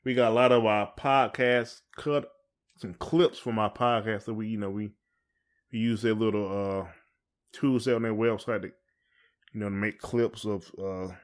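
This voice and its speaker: A man's voice: fairly low pitch, steady tone, unhurried. A man with a Southern US accent chatting casually as a podcast co-host, in a folksy, joking way. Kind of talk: casual chat.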